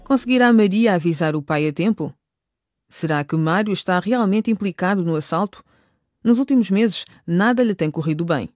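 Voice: medium pitch, expressive, moderate pace, a little mellow, no echo. Dry-sounding radio drama narrator.

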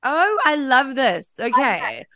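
A woman's voice: high-pitched, very animated, slow pace, fairly bright tone, no room sound, studio quality. A woman interviewing a guest, bubbly and firing off several questions at once. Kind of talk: casual interview.

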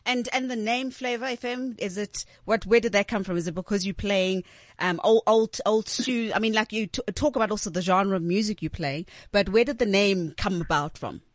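A woman's voice: medium pitch, normal speed, no room sound. A woman hosting a casual, conversational interview, informal in manner and acting out remarks as she quotes them. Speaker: interviewer, host.

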